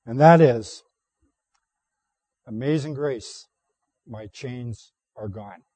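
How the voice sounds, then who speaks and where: A man with a hoarse, mellow voice delivers a sermon, reading scripture in a deliberate, steady cadence. The sound is close and dry, with no room echo. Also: mid-range voice. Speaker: preacher.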